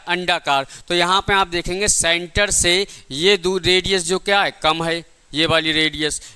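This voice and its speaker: A man's voice: high-pitched, pushed and bright, clean tone. A man teaching a lecture in a didactic way, repeating points and asking rhetorical questions. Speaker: physics teacher for exam coaching. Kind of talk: classroom lecture.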